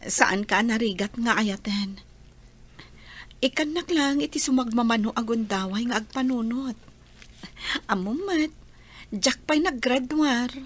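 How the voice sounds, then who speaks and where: A woman with an Ilocano accent acting a gentle, principled young student in a radio drama, measured and sincere. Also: on the high side. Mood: tender, sincere.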